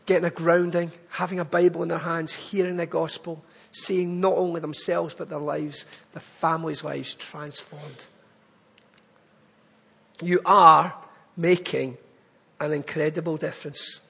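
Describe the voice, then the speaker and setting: A man's voice: fairly high pitch, flat delivery, a little slow, gravelly, bright, forceful tone, husky, long reverb tail. A man with a Glaswegian accent giving an earnest, pleading charity appeal in church. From Scotland. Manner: earnest, pleading, building to emphatic lists.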